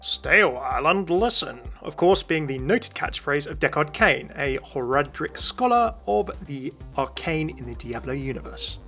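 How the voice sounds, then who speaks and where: A man's voice: on the high side, lively intonation, moderate pace, few pauses, sharp tone, faint music behind. A British man hosting a video, reading scripted game commentary and narration. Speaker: video host.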